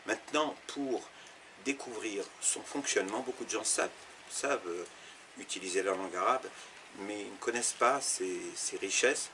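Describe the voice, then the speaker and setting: A deep-voiced man from Algeria, a teacher who explains things step by step, pausing and restarting as he searches for words. His low voice comes through over steady noise in the background. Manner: thoughtful, explanatory, with fillers and restarts. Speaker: Arabic teacher interviewed. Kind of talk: interview answers.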